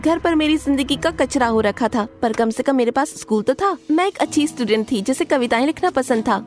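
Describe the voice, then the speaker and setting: A woman voicing a guarded, feisty teenage schoolgirl who tells her story expressively in an animated story. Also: high-pitched, natural voice. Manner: expressive, dramatic. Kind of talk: animated story narration with acted dialogue.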